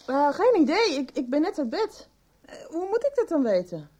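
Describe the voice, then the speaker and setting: A woman playing a troubled, reluctant teenage daughter in a radio soap, speaking haltingly. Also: high voice, big pitch swings, warm tone. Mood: sleepy, reluctant. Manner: hesitant, halting. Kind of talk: 1990s radio soap acting. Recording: radio drama with background noise.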